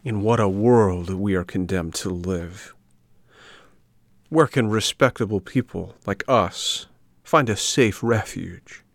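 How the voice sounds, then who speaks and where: A man's voice: on the low side, slow pace, no room sound, studio quality. A man voicing a sly fox full of theatrical false sympathy in a storybook reading. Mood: mock outrage.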